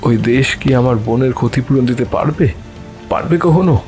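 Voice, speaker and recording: A man's voice: medium pitch, a little mellow, lightly acted. A man playing a loving, grieving soldier brother in an audio drama, emotional and pleading, repeating himself. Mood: anguished. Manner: emotional, pleading, halting repetitions. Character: grieving war-veteran elder brother, loving, anguished. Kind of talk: Bengali horror audio drama acting. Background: music and rain.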